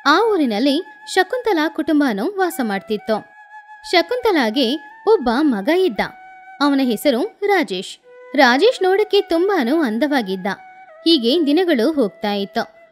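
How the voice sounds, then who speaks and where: High-pitched woman narrating a moral story.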